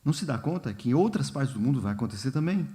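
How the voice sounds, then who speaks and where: A man leading a church Bible study in a relaxed, informal way, often pausing with fillers and asking the congregation questions. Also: mid-range voice. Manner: unhurried, many fillers and "né?", asks the congregation questions. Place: church hall.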